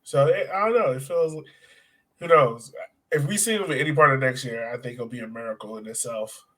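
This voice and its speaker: A man's voice: medium pitch, fairly quick, fairly bright tone. A man hosting a sports podcast in casual chat, with a colloquial, buddy-to-buddy way of talking.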